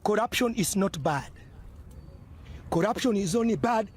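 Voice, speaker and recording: A man voicing a smug, corrupt male politician in a slow, mock-solemn delivery. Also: sits high, animated, slight background noise, pitched above the performer's own voice.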